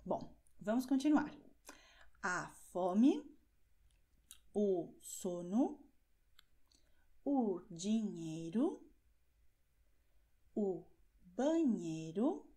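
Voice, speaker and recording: A young woman talks into her webcam in a small room at home and teaches simple travel phrases. She goes slowly and spells out each example, and her mellow voice moves through a wide pitch range. She keeps checking in with a quick "Ok?". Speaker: language teacher. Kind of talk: slow, clear teaching with examples.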